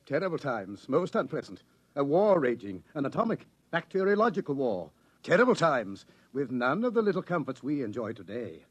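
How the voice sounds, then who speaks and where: An actor playing a government agent from the future, theatrical, mock-polite and insinuating, in 1950s radio drama style. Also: male voice, medium pitch, very animated, moderate pace, dry recording. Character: suavely menacing male government searcher from the future, toying with his quarry. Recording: vintage radio drama recording.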